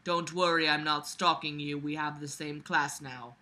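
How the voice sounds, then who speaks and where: A woman's voice: sits low, level tone, normal speed, sharp tone, pitched below the performer's own voice. A woman reading the lines of an awkward, earnest, lovestruck teenage schoolboy from a novel. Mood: awkward, eager.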